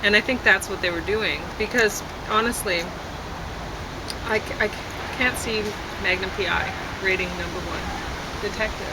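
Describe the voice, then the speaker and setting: A woman in casual, unscripted chat, speaking hesitantly and meandering with pauses. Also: medium pitch. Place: outdoors on a deck. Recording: loud outdoor ambience and traffic noise.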